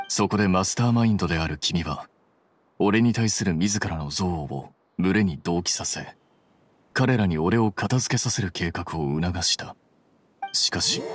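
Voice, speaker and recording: An actor playing a coolly rational young scholar in a video game, explaining things in a measured, detached way. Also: male voice, low-pitched, background noise, natural voice. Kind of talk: Japanese video game voice acting.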